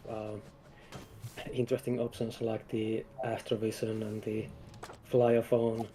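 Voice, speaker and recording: A man chatting casually as a guest game developer, explaining things in a halting, hesitant way. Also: lowish voice, monotone, warm tone, soft background music, rough recording. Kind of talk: casual chat.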